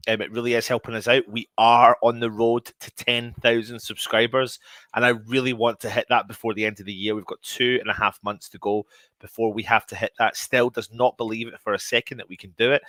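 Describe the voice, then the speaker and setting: Bright-voiced man, slightly sniffly, chatting casually. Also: fairly low pitch, no echo. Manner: chatty, informal, addressing viewers directly. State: sniffly with a mild cold. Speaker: host of a daily football fan channel show. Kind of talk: casual live-stream chat to viewers.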